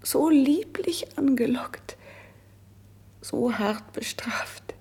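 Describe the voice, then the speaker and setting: An actress playing a noble, lovesick young princess in a classical verse radio play, declaiming solemnly and wistfully in a hushed, breathy voice. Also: female voice, high voice, expressive, in a whisper, full character voice. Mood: wistful sorrow. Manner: solemn, wistful, drawn-out poetic phrasing.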